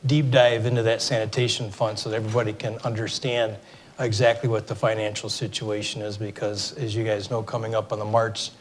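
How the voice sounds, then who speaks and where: A middle-aged man with a Michigan accent and a scratchy voice from a cold, running a town hall meeting in a loose, filler-heavy way. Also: fairly low pitch. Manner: informal, many fillers and restarts. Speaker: mayor moderating a town hall. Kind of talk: public meeting address. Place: city hall meeting room. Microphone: microphone for televised recording.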